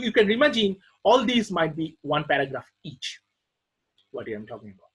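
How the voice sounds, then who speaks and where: A man's voice: on the high side, slightly rough, low-quality recording. A man who is not a native English speaker, giving an informal academic talk with many fillers and restarts.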